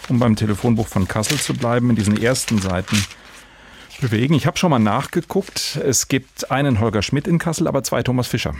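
A man's voice: mid-range voice, a little fast, slight background noise. A man hosting a podcast in a conversational, wry way with formal address, recorded in a studio. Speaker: podcast host. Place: radio studio.

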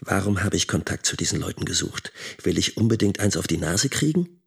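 Actor as gravelly, husky brooding middle-aged schoolteacher. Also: male voice, sits low, expressive, brisk, exaggerated voice. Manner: reflective, self-questioning. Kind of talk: radio drama interior monologue.

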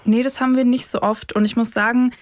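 A woman's voice: a little high, level tone, fast talker, no room sound, clean studio sound. A woman co-hosting a podcast, chatting and asking questions in a casual style. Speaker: podcast co-host. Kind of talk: casual interview questions and chat.

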